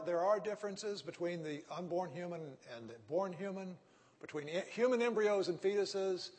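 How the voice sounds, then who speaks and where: A man's voice: high voice, slightly rough. A man with a Texan accent giving an ethics lecture, reasoning step by step and checking in with his listeners with frequent "right?" and "okay?". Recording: echoey hall.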